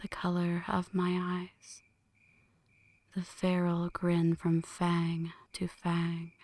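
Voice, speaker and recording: A hushed, low-pitched vampire woman, acted by a woman for an ASMR roleplay. She speaks very slowly and breathily in poetic phrases, flirty yet brooding. The sound is close and dry. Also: monotone, dry recording. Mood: teasing, seductive. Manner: slow, teasing, poetic. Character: flirty, brooding female vampire, seductive and melancholic. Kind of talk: ASMR roleplay, scripted dramatic monologue.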